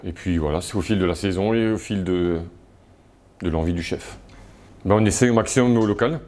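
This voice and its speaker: A man's voice: low voice, a little fast, darkish tone. A man who runs a restaurant, casually describing daily dishes and ordering in a local TV interview. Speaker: restaurant manager.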